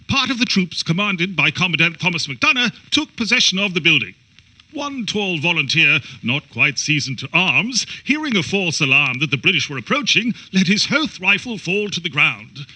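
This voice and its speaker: Bright, high-voiced male narrator. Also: rough recording. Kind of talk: scripted historical narration.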